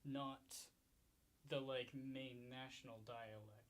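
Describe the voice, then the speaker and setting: A man, a classical guitarist, chatting casually with his duo partner in a rehearsal and pausing often to find his words. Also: medium pitch, fairly even intonation, a little hiss or hum. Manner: hesitant, many ums and restarts.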